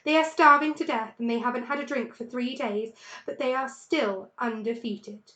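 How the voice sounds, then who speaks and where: A woman's voice: fairly high pitch, normal speed, sharp tone, reverberant. A woman reading a children's storybook aloud, voicing a thoughtful, careful, devoted father fox. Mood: resolved, tender.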